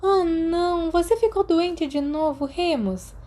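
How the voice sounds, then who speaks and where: A woman narrator plays an innocent Brazilian schoolgirl in a storybook. She speaks politely and with sympathy, in a voice lifted well above a middle pitch. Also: measured pace, mellow. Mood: sympathetic.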